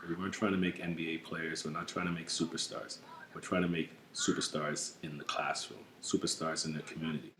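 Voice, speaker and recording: A man from the US speaking in a documentary interview as a program adviser, measured and earnest, often saying "you know". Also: a bass voice, flat delivery, roomy sound, noisy background. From United States.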